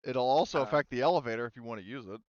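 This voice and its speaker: A man running a tabletop roleplaying game as game master, narrating off the cuff in a halting, casual, sweary way. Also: mid-range voice, a little mellow, no room sound, highs cut off.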